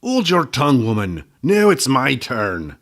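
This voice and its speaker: A man's voice: lowish voice, lively intonation, moderate pace, lightly acted. A man voicing a pompous, self-important little male gnome who fancies himself a scholar. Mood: shouting.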